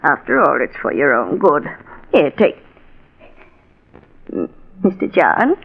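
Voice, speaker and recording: A woman's voice: deep voice, huge pitch swings, slow pace, vocal fry, husky, heavily acted. An actress playing a devoted, mothering housekeeper with a fussy manner in 1940s radio style. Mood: fussing. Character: fussing, devoted female housekeeper. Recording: thin, phone-like old radio recording.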